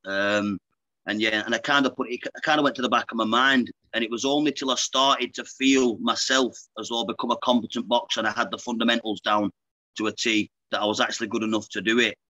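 A man's voice: medium pitch, fairly even intonation, fast, pushed and bright, dry recording, rough recording. A man in his thirties with a Lancashire accent, a guest talking frankly and casually on a video call, often calling the host mate. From Lancashire, England. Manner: chatty, frank, keeps saying "mate". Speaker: guest, pro boxer. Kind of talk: casual interview chat. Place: indoor room, video call. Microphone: earbuds on a video call.

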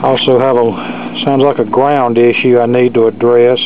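A man's voice: mid-range voice, flat delivery, moderate pace. A man giving a casual, matter-of-fact demonstration as a hobbyist, recorded on a camera microphone in an indoor workshop. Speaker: hobbyist demonstrating restored vintage electronics. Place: cluttered indoor electronics workshop. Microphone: handheld camera microphone. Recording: noisy, thin phone-like camera audio, loud hum.

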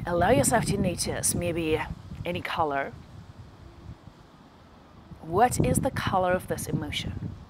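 Low-voiced woman guiding a meditation. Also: expressive, heavy background noise. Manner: slow, gentle instruction with long pauses. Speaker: meditation guide. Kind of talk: guided meditation.

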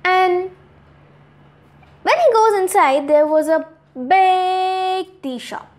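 A woman in her twenties with an Indian accent narrates a moral story for children, expressively, with dramatic pauses. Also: extremely high pitch, expressive. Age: 20s. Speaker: storyteller, host.

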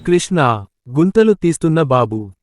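A man playing a steadfast, proud village farmer and gentle father in a moral story. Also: fairly high pitch, very animated, measured pace. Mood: gentle, earnest. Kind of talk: scripted moral story dialogue.

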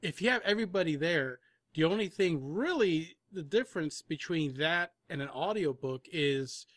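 Male panel guest, fairly high voice, dry close sound, explanatory and conversational. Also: animated, normal speed, fairly bright tone, dry recording. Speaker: audio drama producer, panel guest. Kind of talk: casual discussion in an interview.

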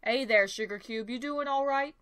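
A woman's voice: on the high side, moderate pace, fairly bright tone, clean tone, close to an everyday voice. A woman voicing a friendly, hardworking cartoon farm-girl pony in a homely, earnest way.

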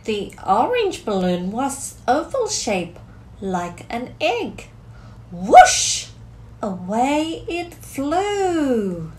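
A woman in her thirties reading a picture book aloud to children, expressive and deliberate, drawing out key words in a small room. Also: high-pitched, a little hiss or hum. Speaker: storyteller, teacher reading to children. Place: small curtained room indoors.

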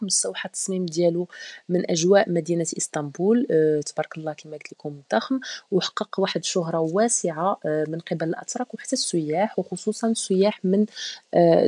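Fast, husky Moroccan woman vlogging. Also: fairly low pitch, warm tone, dry recording. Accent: Moroccan Arabic. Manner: chatty, frequent hesitations and fillers. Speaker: travel vlogger. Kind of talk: casual travel vlog voice-over.

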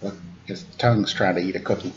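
A man in his forties reading a picture book aloud to children in a deliberate sing-song way, with casual asides. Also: low-pitched, steady tone. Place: indoor room at home. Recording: dull lo-fi webcam audio with noise.

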